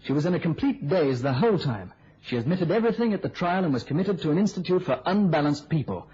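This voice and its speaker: Muffled old radio play: keen young reporter, breezy storyteller, very husky. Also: male voice, a little high, lively intonation, fast talker, dark tone. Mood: grave, relishing. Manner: chatty, storytelling, slightly theatrical. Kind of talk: mid-century radio drama acting.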